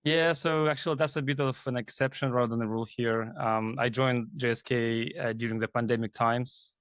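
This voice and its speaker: A man from Kazakhstan talks as a guest in an interview. His mid-pitched voice is recorded dry, and he explains things in a halting, conversational way. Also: fairly even intonation, fairly bright tone, smooth, no echo. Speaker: guest, AI team leader. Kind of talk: interview talk.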